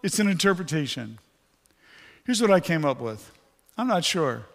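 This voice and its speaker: A man's voice: a little high, very animated, normal speed, vocal fry, a bit bright, slight background noise. A male pastor preaching, his voice weakened after a stroke, candid and joking with the congregation. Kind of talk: sermon. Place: church sanctuary. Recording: long hall reverb.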